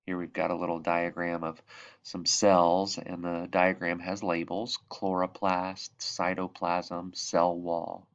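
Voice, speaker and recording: Low-voiced man reading a storybook aloud, muffled sound. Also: level tone, slow pace. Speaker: reader of a children's picture book. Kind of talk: read-aloud storybook narration.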